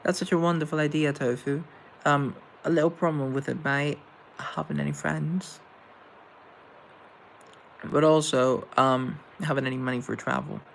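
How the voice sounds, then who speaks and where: Young male live host with a gravelly voice, earnest and rambling, over faint noise. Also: a little high, fairly even intonation, normal speed, long pauses, low-quality recording. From Colombia. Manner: rambling, earnest, with self-deprecating asides. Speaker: live show host answering chat. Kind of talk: casual live-stream chat, reading comments aloud.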